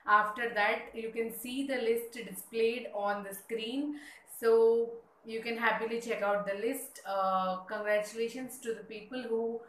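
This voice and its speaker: A woman's voice: on the high side, steady tone, unhurried, a little hiss or hum. A young adult woman hosting an education vlog, explaining steps steadily with frequent "uh" fillers. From India. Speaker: education channel host. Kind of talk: informational vlog. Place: small indoor room. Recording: echoey room with faint ambience.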